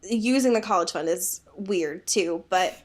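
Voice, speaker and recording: A woman's voice: lowish voice, a little slow. A woman co-hosting a podcast, chatting casually about a book with sarcastic, exasperated commentary and some swearing. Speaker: podcast co-host. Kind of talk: casual book discussion chat.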